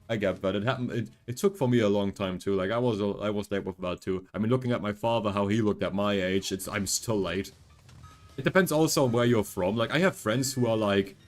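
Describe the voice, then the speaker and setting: Deep-voiced young man chatting casually. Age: 20s. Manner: rambling, reassuring, chatty with frequent fillers. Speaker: streamer. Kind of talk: casual gameplay chat.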